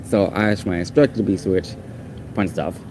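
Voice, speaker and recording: A man's voice: low voice, moderate pace, background noise. A man whose first language is Portuguese, ranting casually and with exasperation in a rambling, repetitive way. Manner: exasperated, rambling, repeats himself.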